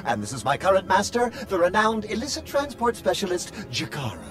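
A man's voice: high-pitched, huge pitch swings, fairly quick, music in the background. A man playing a fretful, servile translator droid in a video game, with a prim, wordy, over-polite manner. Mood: prim, formal. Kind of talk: theatrical video game acting.

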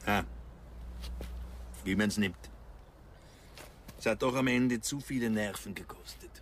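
An actor playing a gruff older village man with a brusque, blunt manner in radio drama dialogue. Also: on the low side, slow, a little hiss or hum. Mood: grudging, frank.

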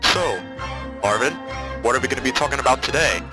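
A man's voice: mid-range voice, expressive, brisk, close to an everyday voice. A man playing a level-headed young cartoon hedgehog who hosts an amateur audio drama, casual and slangy. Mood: upbeat hosting. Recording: loud music behind the voice.

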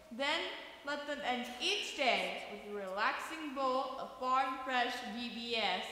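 Woman doing salesy radio sponsor voice, peppy, high-pitched, scripted, very echoey hall. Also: pushed and bright, a little hiss or hum, exaggerated voice. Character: peppy female announcer of a mock radio sponsor spot. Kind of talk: old-time radio commercial.

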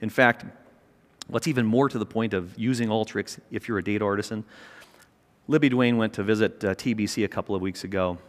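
A man's voice: lowish voice, fairly quick. Middle-aged man giving an emphatic keynote. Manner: energetic, emphatic, pacing the stage. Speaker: keynote speaker, company chairman. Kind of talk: conference keynote speech. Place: large conference stage. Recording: long reverb of a large hall.

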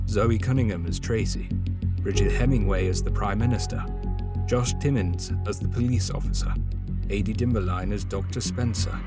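A man reading out scripted cast credits as an announcer. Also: lowish voice. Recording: loud music under voice.

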